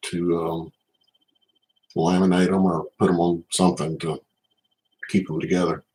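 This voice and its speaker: A middle-aged man with a Southern US accent and a low-pitched voice hosts a casual livestream. He talks in an unhurried, conversational way, addressing viewers directly by name. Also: flat delivery, darkish tone, low-quality recording. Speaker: livestream host, card collector.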